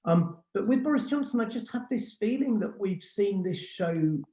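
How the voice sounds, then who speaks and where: A man hosts an informal panel discussion over a call. His voice is fairly high for a man and mellow, with halting phrasing and repeated words. The sound is muffled and echoey. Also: expressive. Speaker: host, magazine editor.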